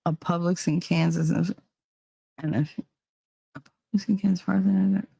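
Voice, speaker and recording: A middle-aged American woman from New Jersey chatting casually as a live show host, blunt, teasing and quick to swear. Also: lowish voice, steady tone, gravelly, warm tone, slightly rough, no echo, rough recording. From New Jersey, United States. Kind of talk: casual chat with listeners.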